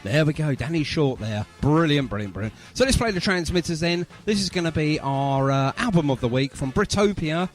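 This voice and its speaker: Man, radio presenter. Animated intonation, chatty and gushing, jokey, faint music bed underneath. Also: fairly high pitch, fairly quick, few pauses. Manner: effusive, repeats praise, jokey banter. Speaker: radio host. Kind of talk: casual chatty radio interview.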